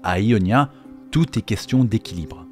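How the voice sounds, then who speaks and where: A man's voice: lowish voice, expressive, measured pace, darkish tone. A man narrating a scripted explainer as the host of a video on game lore. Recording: faint music behind the voice. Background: faint background music.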